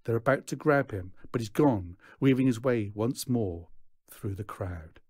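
A man's voice: mid-range voice, animated, a little slow, a little mellow, slightly rough, no room sound, clean studio sound. A man reading a children's story aloud as scripted narration. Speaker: audiobook reader.

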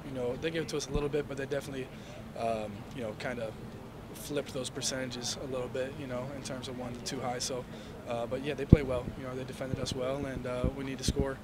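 A young man, a college quarterback, answering reporters' questions after a game, measured and full of "you know"s, into handheld mics indoors. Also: mid-range voice, heavy background noise. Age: young adult. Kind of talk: post-game press interview. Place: indoor hallway before a sponsor backdrop. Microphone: handheld reporter mics and phones held toward him.

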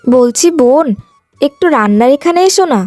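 Woman playing a patient sister-in-law, high pitch, coaxing, polite, cartoon tale, dry recording. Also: animated, normal speed, a little mellow, dry recording, faint music behind. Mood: patient, pleading. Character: patient, hardworking young village sister-in-law. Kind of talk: cartoon moral-tale voice acting.

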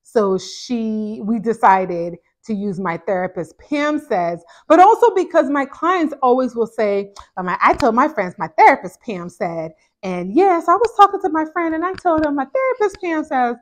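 A woman in her thirties from Ghana, a therapist hosting a casual question-and-answer chat to camera, speaking in an animated, chatty way into a lapel mic in a small indoor room. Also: a little high, moderate pace, hardly any pauses. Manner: chatty, animated, gestures a lot while talking. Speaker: therapist and channel host. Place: small indoor home office.

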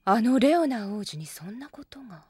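Curious adventurer, breathy voice. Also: female voice, a little high, lively intonation, moderate pace, a little mellow, no room sound. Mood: inquisitive. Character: curious, perceptive young female adventurer. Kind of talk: anime audio drama acting.

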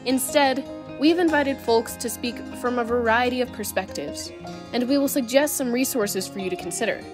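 High-pitched earnest woman announcer over music. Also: moderate pace. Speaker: event announcer. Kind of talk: scripted promotional narration. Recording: music behind the voice.

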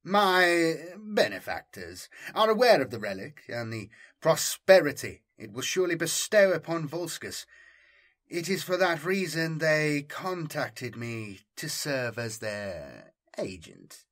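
A man voicing a pompous rogue trader envoy who speaks in formal, courtly, measured phrases for an audiobook. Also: a little high, big pitch swings, a bit bright, pitched above the performer's own voice, full character voice. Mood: formal, proclaiming. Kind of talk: audiobook character dialogue.

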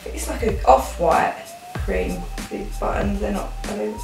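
A woman's voice: mid-range voice, huge pitch swings, a little slow, gravelly. Young British female vlogger, informal and rambling, recorded at a distance in a roomy home with music behind. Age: young adult. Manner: informal, rambling, thinking aloud. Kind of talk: casual chatty haul vlog. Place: living rooms at home. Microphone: camera a few feet away.

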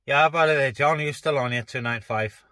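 A man's voice: mid-range voice, quick pace, background noise. A man chatting casually as a CB radio operator, speaking colloquially and repeating phrases, inside a parked car.

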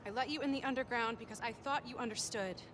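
Young woman, headstrong and wry, confrontational, played by an actress; fairly high voice over a score. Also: quick pace, noisy background, close to an everyday voice. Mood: tense. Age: young adult. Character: fierce, stubborn young woman inventor. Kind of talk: video game cutscene acting. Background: game score music.

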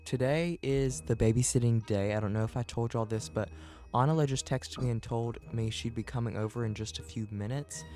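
Casting: male player as bossy, talkative roleplay parent, middling pitch, improvised game delivery, music underneath. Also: steady tone, brisk, mellow, lightly acted. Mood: upbeat, instructing. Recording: music behind the voice.

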